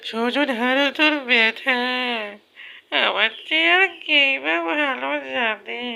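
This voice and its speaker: Woman voicing a high, bright scheming cartoon lady leader. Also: slow pace, vocal fry, smooth, exaggerated voice. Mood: smug. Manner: theatrical, self-admiring. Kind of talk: satirical cartoon dub acting.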